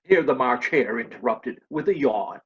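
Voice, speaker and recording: A woman's voice: extremely low pitch, expressive, normal speed. A woman reading scripted narration for a readers' theater storybook piece, over dull lo-fi audio.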